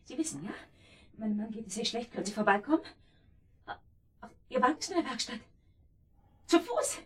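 An actress playing an outwardly caring, calculating wife in a German radio drama, speaking standard German. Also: female voice, on the high side, lively intonation, fairly quick, husky. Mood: urgent concern. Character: outwardly solicitous, calculating wife of ailing husband.

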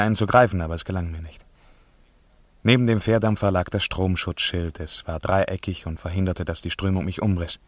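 Low, close, dry storytelling diver male actor. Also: no room sound, close to an everyday voice. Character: diver recounting an eerie underwater descent. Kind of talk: 1950s radio play narration, literary.

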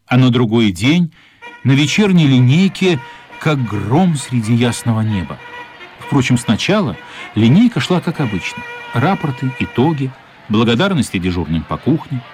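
A man's voice: medium pitch, a little mellow. A man narrating a literary radio play as a scripted storyteller.

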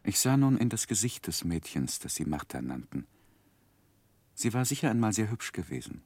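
A grown man looking back on his life in a 1960s radio drama. His voice is low and grave, with a measured, wistful storytelling manner. The sound is close and very dry. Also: big pitch swings, darkish tone, husky, no room sound, exaggerated voice. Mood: reflective. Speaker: narrator.

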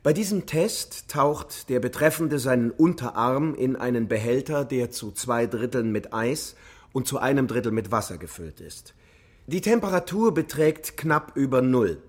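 An actor playing a precise military psychologist giving factual, clinical testimony in a radio drama. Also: male voice, mid-range voice, lively intonation, moderate pace, breathy edge.

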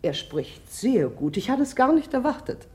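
A woman's voice: fairly high pitch, very animated, moderate pace, warm tone. A woman playing a middle-aged, impulsive, commanding society mother in a radio drama, talking effusively and abruptly. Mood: curious, eager.

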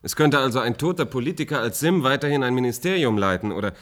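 A man's voice: medium pitch, animated, fast talker, fairly bright tone, close to an everyday voice. An actor playing a reassuring, reasoning man in a German science-fiction radio play. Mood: pondering. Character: reassuring, reasoning male companion of an anxious woman.

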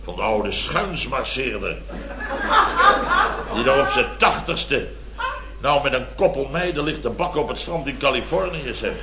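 Blustery male contractor, played by an actor, middle-aged, highish swooping delivery, rambling boaster in vintage radio comedy with dull sound. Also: animated, normal speed, pauses often, very noisy, natural voice. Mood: exasperated grumbling. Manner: rambling, exclamatory, constant tag words. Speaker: comic lead actor. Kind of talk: 1970s radio comedy acting. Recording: dull old radio recording, roomy, audience laughter.

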